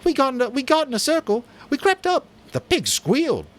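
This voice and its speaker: A man voicing a group of excited boy hunters who pile their words over each other. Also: very high-pitched, fairly quick, voice pushed up, full character voice. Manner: eager, tumbling words.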